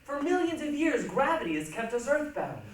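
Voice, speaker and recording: A man's voice: extremely high pitch, very animated, a little fast. A man performing a dramatic stage reading with an emphatic, theatrical delivery. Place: large echoey room, recorded from a distance. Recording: echoey hall, distant mic, faint noise.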